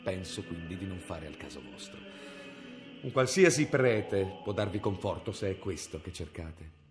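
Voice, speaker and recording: An actor playing a stern, cold medieval inquisitor in a radio drama, speaking in a severe, commanding, formal way. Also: male voice, low-pitched, animated, normal speed, soft background music.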